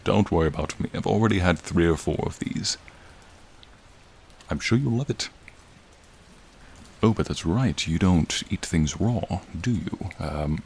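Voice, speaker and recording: A man voicing a friendly, charming frost dragon in a soothing, courtly, admiring manner, in an ASMR roleplay with rain behind him. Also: full character voice. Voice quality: low, gravelly, soothing. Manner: courtly, leisurely, warmly attentive. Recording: steady rain sounds behind the voice. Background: rain falling.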